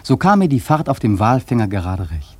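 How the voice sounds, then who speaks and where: A wry, brooding seafarer telling his tale in a literary radio play. The voice is husky and mellow, recorded close and dry. He speaks reflectively, placing his emphasis with dramatic care. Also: mid-range voice, big pitch swings, a little fast, no room sound. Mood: awed fascination. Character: brooding, wry sailor narrator drawn to the sea.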